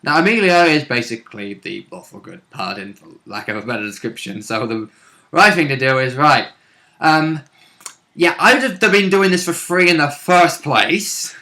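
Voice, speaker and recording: Bright-voiced man chatting casually as a player. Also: a little high, animated, moderate pace. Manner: informal, sweary, colloquial. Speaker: player in a tabletop role-playing game. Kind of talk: casual chat.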